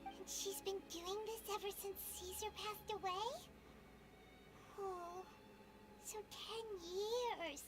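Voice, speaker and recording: A woman voicing an excitable tiny female fairy companion in a video game, eager and bouncy. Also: extremely high pitch, takes it slowly, long pauses. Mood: touched. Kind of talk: game voice acting.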